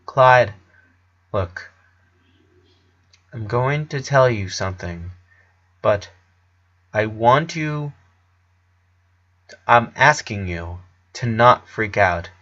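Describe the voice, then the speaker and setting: A man voicing a serious, troubled eleven-year-old boy who speaks haltingly and earnestly. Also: lowish voice, expressive, sharp tone, lo-fi sound, heavily acted. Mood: serious, hesitant.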